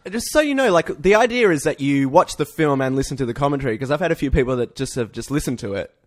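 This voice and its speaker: He is a man with an average-pitched voice, hosting a comedy chat podcast. He talks informally, as if with a friend. His banter is jokey and loosely sweary. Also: lively intonation, fast. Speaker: podcast host. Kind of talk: casual comedic pop culture podcast chat.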